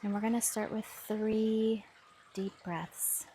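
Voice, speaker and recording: A woman guiding a mindfulness body scan meditation with soft, soothing instructions. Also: medium pitch, steady tone, slow, faint music behind. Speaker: meditation guide. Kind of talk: guided meditation.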